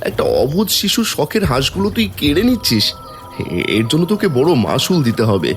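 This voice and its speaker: A man's voice: fairly high pitch, huge pitch swings, fairly quick, soft background music. A man voicing an indignant village father in a cartoon fairy tale, scolding and reproachful, with emphatic delivery. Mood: outraged.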